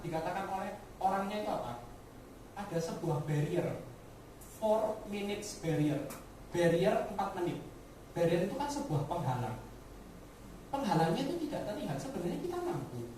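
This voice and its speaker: A woman's voice: medium pitch, darkish tone. Woman, motivational business presenter, direct address, sprinkled English words, heavy room echo, faint background noise. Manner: rhetorical questions, frequent direct address to listeners; mixes English words into speech. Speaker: insurance agent giving a motivational talk. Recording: very echoey room, distant mic, faint noise.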